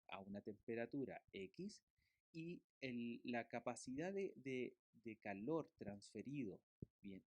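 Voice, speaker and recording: Lively-voiced man lecturing from slides. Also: mid-range voice, no echo. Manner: explanatory, with fillers and tag questions. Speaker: physiotherapy lecturer. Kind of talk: recorded lecture explaining slides.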